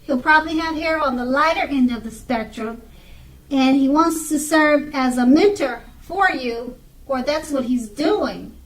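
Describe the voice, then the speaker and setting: A woman giving an unscripted tarot card reading in a halting way, pausing and repeating her phrases. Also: high-pitched, low-quality recording. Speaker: tarot reader.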